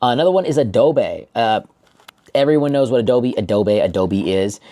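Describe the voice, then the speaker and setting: A man's voice: medium pitch, lively intonation, moderate pace, mellow. Man giving off-the-cuff trading advice. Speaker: stock trading YouTuber. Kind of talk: unscripted market commentary to camera.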